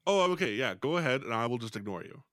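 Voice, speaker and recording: A man's voice: medium pitch, big pitch swings, fast talker, a bit bright, no room sound. Podcast guest, man with a mid-range voice, teasing and playful, close dry mic. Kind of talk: casual chat.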